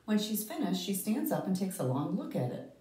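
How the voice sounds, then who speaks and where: A woman reading a picture book aloud to children, with deliberate, emphatic phrasing and dramatic pauses. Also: mid-range voice, sing-song, warm tone. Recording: roomy, echoey, distant microphone.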